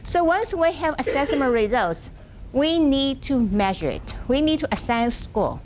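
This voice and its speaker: A soft-spoken woman presenting a university workshop, speaking haltingly with restarts, seated in a classroom without a microphone. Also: sits high, lively intonation, a little hiss or hum. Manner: halting, frequent restarts and fillers. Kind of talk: academic workshop lecture. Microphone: no microphone, room sound.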